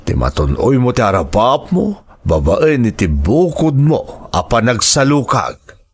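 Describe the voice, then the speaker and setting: A man playing a supportive middle-aged father in an audio drama, with a gravelly, husky voice and an expressive, affectionate manner. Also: mid-range voice, measured pace, exaggerated voice. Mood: approving, supportive. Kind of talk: dramatized family radio-style drama.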